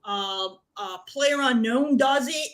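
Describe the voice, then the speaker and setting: A woman's voice: sits high, slow pace, fairly bright tone. A woman speaking as a panelist in a casual chat, blunt and emphatic with her opinions. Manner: emphatic, blunt, repeats points. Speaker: panelist on a gaming talk show.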